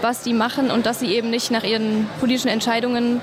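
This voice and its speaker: Young female student chatting to a reporter, pretty monotone, crowd and street noise around. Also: a little high, quick pace. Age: young adult. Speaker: school student. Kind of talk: casual interview answer.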